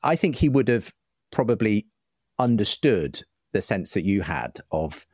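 A man's voice: fairly low pitch, big pitch swings, unhurried, a little mellow, no echo, clean studio sound. A man, talking thoughtfully and agreeably, a little hesitantly, as a guest in an interview. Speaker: interview guest, writer and broadcaster. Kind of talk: conversational interview.

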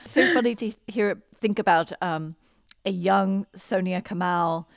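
A woman's voice: mid-range voice, measured pace, vocal fry, dry recording, studio quality. A woman hosting a podcast, speaking in a thoughtful, emphatic way in casual interview talk. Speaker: podcast host.

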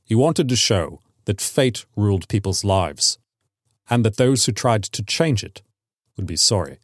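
A man voicing a middle-aged sergeant major in a story, grave and haunted, speaking in a quiet, deliberate, warning way. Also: fairly low pitch, animated, dry recording, clean studio sound. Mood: solemn.

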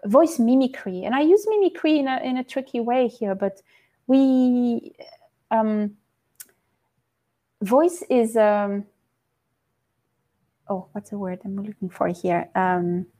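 Woman, researcher guest; higher voice, mellow tone; thoughtful, searches for words. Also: takes it slowly, pauses often. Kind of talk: conversational podcast interview.

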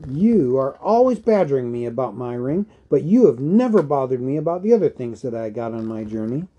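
A man's voice: a little high, animated, a little slow, lo-fi sound, voice pushed up. A man voicing an elderly hobbit in a storybook reading, fretful, stubborn and possessive, with a querulous, insistent manner. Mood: suspicious, annoyed.

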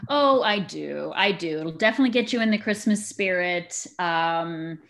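Expressive, enthusiastic woman chatting as guest. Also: fairly low pitch, moderate pace, vocal fry. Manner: enthusiastic, expressive big personality. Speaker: interview guest, community theater actor. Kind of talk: casual interview chat.

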